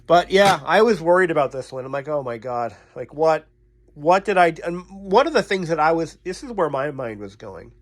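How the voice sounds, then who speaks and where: A man's voice: a little high, sing-song, normal speed. A man working as a repairman and hosting his own vlog, talking casually and thinking aloud as he works. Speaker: radio repairman and video host.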